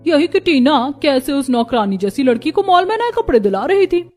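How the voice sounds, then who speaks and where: A woman voices a snobbish, gossipy society friend in a cartoon story. She talks snidely in a high-pitched voice, with music in the back. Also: sing-song, moderate pace, a little mellow. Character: gossipy, snobbish rich female family friend.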